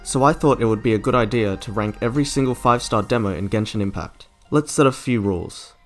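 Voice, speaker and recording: A man's voice: lowish voice, fairly quick, faint music behind. A man narrating a scripted video essay as its host.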